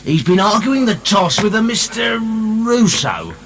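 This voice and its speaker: An actor playing a nervous, deferential prison turnkey, flustered and hesitant, in a radio drama. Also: male voice, high-pitched, very animated, measured pace, a bit bright, a little hiss or hum, heavily acted.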